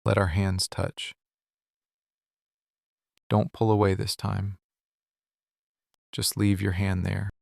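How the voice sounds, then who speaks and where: A man playing a weary, lovesick, possessive mafia boss in a scripted boyfriend ASMR roleplay, speaking intimately and deliberately in short phrases. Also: deep voice, flat delivery, vocal fry, a little mellow, no room sound, studio quality, heavily acted. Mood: longing, intense.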